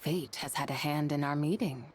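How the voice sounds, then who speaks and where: Low, dignified woman, played by an actress. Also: normal speed, slight background noise, lightly acted. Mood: composed, formal. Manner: formal, composed. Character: dignified, serene, formal woman of mystery. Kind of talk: video game character dialogue.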